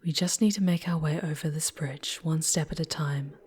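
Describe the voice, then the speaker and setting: A woman voicing a charming, tender, sorrowful female demon guide in a breathy, whispered ASMR roleplay, with halting, dramatic phrasing and long pauses. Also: deep voice, steady tone, a little mellow. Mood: gentle, reassuring.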